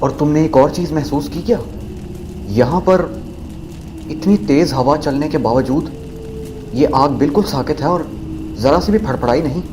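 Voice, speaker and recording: A man's voice: on the high side, normal speed, long pauses, background noise, close to an everyday voice. A man playing a bold, curious young university student in an audio drama. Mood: curious, puzzled.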